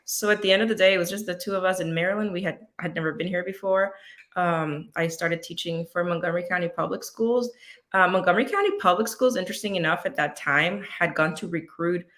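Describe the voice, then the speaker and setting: A woman's voice: on the low side, brisk, fairly bright tone. A woman in her fifties from Puerto Rico, a native Spanish speaker, chatting casually as an interview guest and animatedly acting out her own thoughts. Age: 50s. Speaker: guest, veteran teacher.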